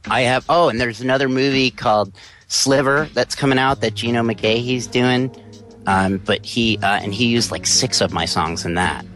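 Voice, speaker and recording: A man in his forties with a deep voice, chatting loosely as an interview guest with plenty of fillers. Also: moderate pace, faint music behind. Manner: rambling, relaxed, many fillers. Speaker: interview guest, musician and actor. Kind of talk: casual interview chat.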